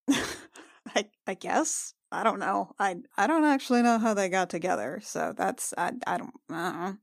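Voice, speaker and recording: A woman voicing an awkward, guarded young adventurer in a tabletop game, speaking casually, wryly and haltingly. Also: medium pitch, vocal fry, no room sound, studio quality, natural voice. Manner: hesitant, halting, colloquial.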